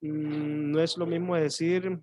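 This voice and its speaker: A man teaching an online class in coastal Mexican Spanish, his voice sitting a bit high for a man. He explains patiently, thinking aloud with little fillers and pauses. The sound is dry and close, with no room around it. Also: level tone, a little mellow, no room sound.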